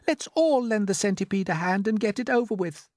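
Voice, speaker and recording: A storyteller voicing a prim, fussy female ladybird in a very high voice. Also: lively intonation, fairly quick, a little mellow, dry recording, clean studio sound, lightly acted.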